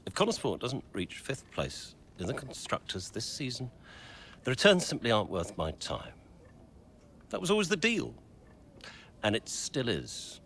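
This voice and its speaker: Cold, ruthless tycoon, swinging delivery. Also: male voice, mid-range voice, wildly swooping pitch, moderate pace, a bit bright, a little hiss or hum. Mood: cold, firm. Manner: curt, dismissive, uncompromising. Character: cold, ruthless wealthy businessman and controlling father.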